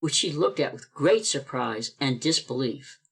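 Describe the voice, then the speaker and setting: Narrator, steady expressive storybook reading, video call. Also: mid-range voice, measured pace, gravelly, slightly rough. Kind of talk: storybook narration of a radio play.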